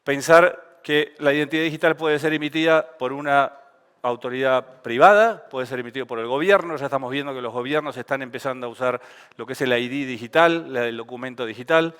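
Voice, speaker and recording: A man's voice: medium pitch, brisk, fairly bright tone, clear, resonant tone. A man with an Argentine accent giving a casual, joking conference talk on stage. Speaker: conference speaker. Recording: reverberant event hall.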